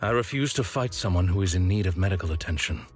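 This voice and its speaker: Deep, reserved, stoic man. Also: fast talker, faint music behind. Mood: stern, concerned. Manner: formal, reserved, deadpan. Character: stoic, dutiful man, gruffly caring old partner. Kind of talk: video game voice acting.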